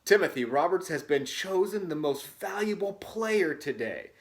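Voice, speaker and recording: A man puts on a stadium loudspeaker announcer from a storybook. He proclaims formally in a slightly high male voice. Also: expressive, normal speed. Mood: proclaiming.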